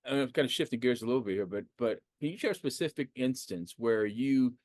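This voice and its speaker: A man in his fifties from the United States, hosting a faith podcast and talking in an animated, conversational way with plenty of fillers and restarts. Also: mid-range voice, fairly quick, no room sound, studio quality. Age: 50s. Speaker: podcast host. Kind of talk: casual interview.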